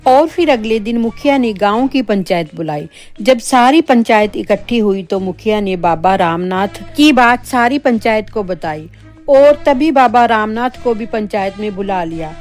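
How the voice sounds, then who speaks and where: A woman's voice: medium pitch, animated, measured pace, darkish tone. A woman narrating a scripted spooky story for children as a storyteller. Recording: background music.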